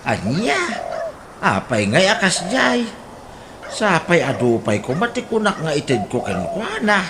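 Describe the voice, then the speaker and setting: Brief: woman voicing a fussy Ilocano uncle, wildly swooping pitch, faint noise behind. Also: big pitch swings, a little slow. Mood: disapproving. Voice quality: laughing, swooping delivery. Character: older uncle, proud and fussy about his motorbike. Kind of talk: radio drama acting.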